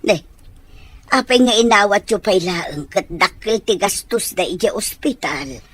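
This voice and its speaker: An actress playing a probing, outspoken middle-aged mother with a husky voice in a radio drama. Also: mid-range voice, lively intonation, measured pace.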